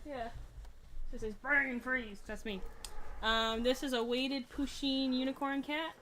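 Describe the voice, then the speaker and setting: A young woman streaming a game with a friend, chatting casually in a loud, projecting voice. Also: high-pitched, steady tone, measured pace, slight background noise. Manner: chatty, showing off plushies. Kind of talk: casual chat.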